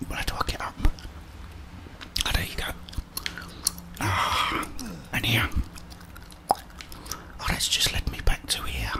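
Whispering man, slow and breathy. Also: on the low side, very animated. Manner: murmuring to himself and viewers in short remarks. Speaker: gaming ASMR host. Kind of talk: whispered ASMR game commentary. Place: small indoor room with plain wall.

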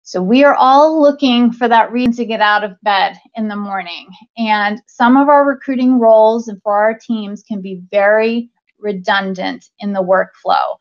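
A woman's voice: mid-range voice, moderate pace. A woman in her thirties giving a conference talk over slides, speaking conversationally with many ums and restarts, via a webcam on a video call. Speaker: conference speaker, talent acquisition leader. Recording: dull lo-fi video call audio.

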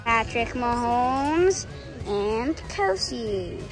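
A woman's voice: on the high side, animated, extremely slow, rough recording. A woman filming a casual travel vlog on a handheld phone, chatting to viewers with lots of fillers. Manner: chatty, with frequent fillers, addressing viewers as "guys". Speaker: vlogger. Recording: music playing over the voice. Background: background music.

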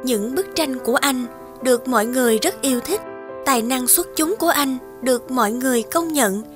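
A woman's voice: high voice, fairly quick. A woman reading scripted storybook narration for a cartoon. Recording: music behind the voice.

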